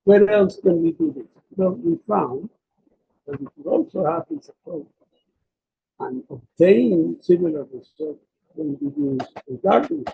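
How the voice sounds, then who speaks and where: A man giving an explanatory academic slide presentation, speaking haltingly and often repeating and rephrasing himself. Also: high-pitched, very animated, creaky, mellow, a little hiss or hum, poor audio. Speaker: presenter of a technical talk.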